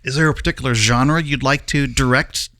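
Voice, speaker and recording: A man with a bright, forward voice hosts a casual interview. His pitch sits in the middle range, and the sound is dry and close. He talks conversationally, as a host chatting with guests. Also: steady tone, brisk, no echo. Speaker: podcast host.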